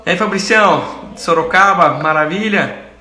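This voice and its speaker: A lively male host with a high, bright voice who greets his viewers by name on a live chat. He sometimes slips into halting English for his guest. The room sounds echoey, with faint noise behind him.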